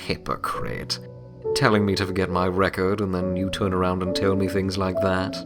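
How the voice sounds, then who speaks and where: A man voicing a caring, wry male pony loner with an awkward, halting, earnest way of talking in an audio drama. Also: low-pitched, fairly even intonation. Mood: wry, teasing. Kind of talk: audio drama character dialogue. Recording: loud music bed under voice. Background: loud music.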